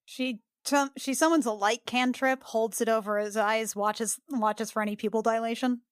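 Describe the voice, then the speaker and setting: A woman's voice: fairly high pitch, fairly even intonation, normal speed, clean tone, no echo, clean studio sound. A woman playing a tabletop role-playing game, casually narrating what her character does.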